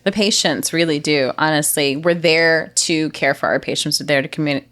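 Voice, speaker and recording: A woman with a notably low, deep voice, speaking as an interview guest. She talks in an earnest, impassioned way and piles up repeated phrases for emphasis. Her delivery is forceful. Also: steady tone, normal speed. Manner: speaks forcefully, says she has a loud voice. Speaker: healthcare revenue cycle director, guest. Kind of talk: conversational interview answers.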